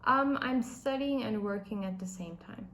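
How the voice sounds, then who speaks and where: A woman's voice: a little high, normal speed, vocal fry, a bit bright. A woman in her twenties from Turkey answering interview questions casually, thinking between phrases. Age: 20s. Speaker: student interviewee.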